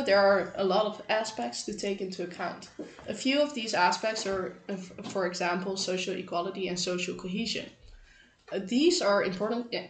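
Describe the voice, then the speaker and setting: Young female co-host; halting scripted read, roomy sound, faint hiss. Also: mid-range voice. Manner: reads with fillers and restarts. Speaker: podcast co-host. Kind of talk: scripted student podcast hosting. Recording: roomy, faint background noise.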